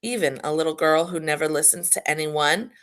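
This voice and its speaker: A woman reading a children's storybook aloud in a playful, tongue-in-cheek manner with emphatic pauses. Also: low-pitched. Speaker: school storybook reader.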